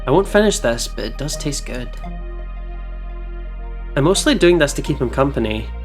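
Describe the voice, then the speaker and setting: Expressive man reading a game aloud. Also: medium pitch, normal speed. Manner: expressive, reactive, swears casually. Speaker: let's play host reading a visual novel aloud. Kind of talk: dramatic first-person narration mixed with casual banter. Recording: game music behind voice.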